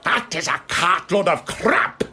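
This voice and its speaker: A man's voice: high-pitched, lively intonation, measured pace, pushed and bright, husky, full character voice. A man playing a rustic, bossy quest leader with a British accent and a blunt, scolding manner in a comic audio drama. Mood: exasperated scolding.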